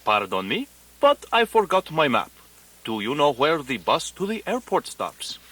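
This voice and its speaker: A man playing an earnest language teacher in a radio parody, enunciating deliberately in mock foreign phrases. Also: fairly high pitch, wildly swooping pitch, a bit bright, heavily acted. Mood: instructive. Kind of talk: parody of a broadcast language lesson.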